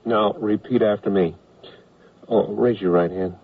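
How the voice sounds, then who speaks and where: An actor playing an easygoing, absent-minded army lieutenant in old-time radio comedy, informal and halting. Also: male voice, lowish voice, fairly even intonation. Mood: mild, easygoing. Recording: tinny vintage radio recording.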